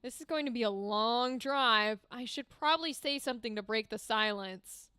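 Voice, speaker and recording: A woman reading the lines of an awkward young woman who is driving, in a flat, lower voice. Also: moderate pace, clean tone, no room sound, studio quality.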